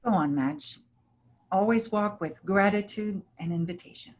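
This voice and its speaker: A woman playing a kind, principled healer in a travelling troupe, gentle and reassuring, in a staged play reading. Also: medium pitch, unhurried, muffled recording, lightly acted. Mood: gently firm.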